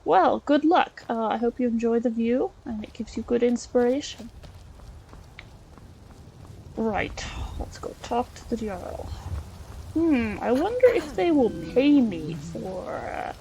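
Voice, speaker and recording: A woman's voice: fairly high pitch, gravelly, mellow, a little hiss or hum, poor audio. A woman playing a polite, wry scholar adventurer, musing aloud in character through a video game. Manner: musing, chatty, dryly polite.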